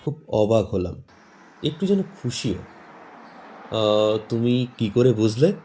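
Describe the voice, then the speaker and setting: A man presenting and narrating a scripted horror story in a measured, suspenseful, dramatic manner. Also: lowish voice, sing-song, mellow, slight background noise. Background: faint background music.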